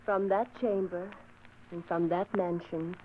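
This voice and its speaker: A woman's voice: fairly low pitch, steady tone, measured pace, close to an everyday voice. An actress playing a frightened young woman who narrates, breathless, pleading and melodramatic. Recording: tinny hissy old radio recording.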